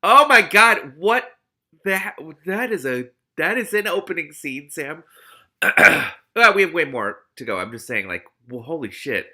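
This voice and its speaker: A man reading a novel aloud in an expressive, animated way, adding his own exclamatory commentary. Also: a little high, unhurried. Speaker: host of a read-aloud commentary show.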